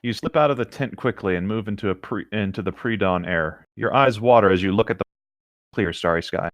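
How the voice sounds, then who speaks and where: A man with a fairly low voice narrates a story from the page. He drops casual asides into the reading. The recording is dry, with hardly any room sound. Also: fairly quick, no room sound. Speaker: co-host reading fan fiction aloud.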